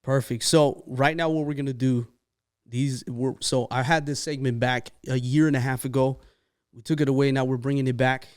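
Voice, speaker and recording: A man's voice: mid-range voice, fairly even intonation, normal speed, smooth. A man hosting a podcast interview in a studio. He talks in an upbeat, chatty way and often echoes his guest's answers back. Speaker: podcast host. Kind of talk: casual interview chat.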